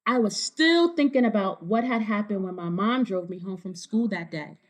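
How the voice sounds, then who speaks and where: A woman reading a children's storybook aloud, pausing to explain things and check in with young listeners. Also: mid-range voice.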